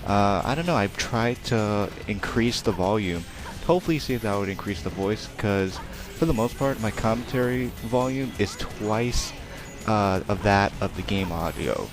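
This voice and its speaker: A young man giving rambling, casual let's play commentary with a naturally quiet voice. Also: on the low side, expressive, moderate pace. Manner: rambling, chatty, self-deprecating, many fillers. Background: game music.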